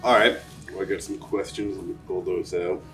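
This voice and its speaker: A man hosts a casual interview in a fairly low voice, friendly and appreciative, with a joking edge. The microphone sits at a distance from him. Faint music runs underneath. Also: fairly even intonation, a little fast, fairly bright tone. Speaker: interview host.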